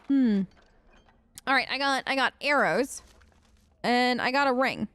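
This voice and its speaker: Female gamer host, higher register, casual and chatty, talks to herself and the characters during role-play commentary. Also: animated, a little slow, fairly bright tone, no echo.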